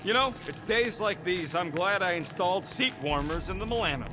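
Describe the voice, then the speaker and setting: Scrappy, high-pitched, mouthy space critter. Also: male voice, animated, brisk, music in the background. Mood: wry. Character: brash, snarky, foul-mouthed small space critter. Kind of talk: video game voice acting.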